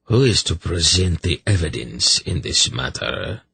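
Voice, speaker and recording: A cold, aged fantasy hierarch , acted by a man, hollow and gravelly. He speaks low and slowly, with sardonic, non-committal authority. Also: sing-song, bright, forceful tone, no room sound, exaggerated voice. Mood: cool command. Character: cold, aged, corpse-like high priest, commanding and sardonic.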